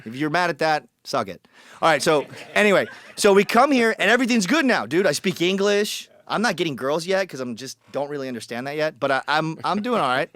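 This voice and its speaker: A man's voice: a little high, lively intonation, brisk, a bit bright, no echo. Blunt joking man, dry close mic. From Colombia. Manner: blunt, joking, swings his voice acting out quoted people. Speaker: podcast guest. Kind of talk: casual storytelling.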